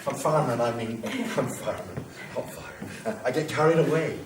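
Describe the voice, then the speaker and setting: A man's voice: mid-range voice, expressive, normal speed, lightly acted. An actor playing a volatile, boastful yet lonely man who believes he is fire, in a rambling theatrical monologue that swings between grandiose and apologetic. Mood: agitated, pleading. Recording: echoey room, distant mic, faint noise.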